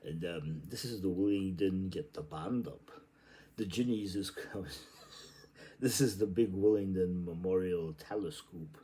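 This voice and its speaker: A man's voice: deep voice, measured pace, warm tone. A man reading literary prose aloud in a theatrical, playful and exclamatory way. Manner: playful, exclamatory delivery with sudden outbursts. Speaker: reader.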